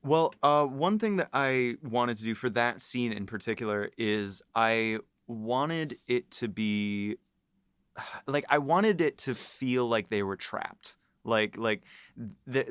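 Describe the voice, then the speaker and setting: Male writer-host, mid-range pitch, smooth clear tone, dry close sound, chatty and animated with fillers. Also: no echo. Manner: animated, lots of fillers, laughs while explaining. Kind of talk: casual behind-the-scenes chat.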